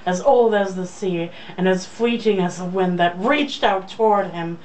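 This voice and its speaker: Slow-paced young woman, off-mic. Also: on the low side, a little mellow. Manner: joking, irreverent. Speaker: reader. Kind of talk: casual read-aloud of a romance novel with joking asides. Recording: distant mic, faint background noise.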